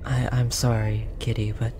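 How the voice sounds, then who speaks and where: A performer voicing a gentle, apologetic alien researcher, speaking softly and hesitantly in an earnest, reassuring roleplay style. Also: mid-range voice, flat delivery, normal speed, close to an everyday voice. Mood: soothing, gentle. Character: tall, apologetic, gentle alien researcher soothing an abducted captive. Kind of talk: roleplay audio drama, soft comforting delivery. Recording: audible background noise, monitor beeping.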